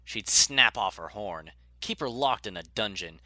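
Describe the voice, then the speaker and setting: A man gives a dramatic, scripted audiobook narration. His voice is fairly low, with a bright, forceful edge. The sound is dry, with no room to it. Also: lively intonation, a little slow, no echo.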